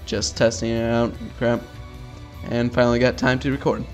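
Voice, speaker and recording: A man's voice: medium pitch, little pitch movement, normal speed, darkish tone, faint music behind. A man narrating a visual novel let's play in an informal, slangy, offhand way. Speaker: video game streamer doing a let's play. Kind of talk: casual let's play, reading visual novel text aloud.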